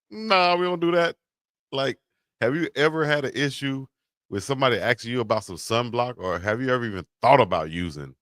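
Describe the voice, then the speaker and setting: A man's voice: mid-range voice, fairly bright tone, no echo, studio quality. A middle-aged man co-hosting a casual sports chat, telling stories in a laid-back way with plenty of fillers. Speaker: co-host. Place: Hawaii, at home on a live stream.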